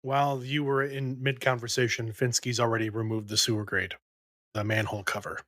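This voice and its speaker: Male player, middle pitch, careful clarifier. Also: level tone, brisk, a bit bright, no echo, studio quality. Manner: methodical, clarifying rules and positions. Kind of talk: tabletop game table talk.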